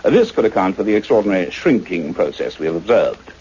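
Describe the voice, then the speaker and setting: Casting: methodical doctor of science, radio play lead and narrator. Lowish, formal, exact delivery; dull-sounding tape. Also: male voice, big pitch swings, a little mellow, husky, heavily acted. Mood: measured explaining. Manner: formal, measured, precise. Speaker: actor playing the lead and narrator. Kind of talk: radio drama acting and scripted narration. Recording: muffled radio recording with faint noise.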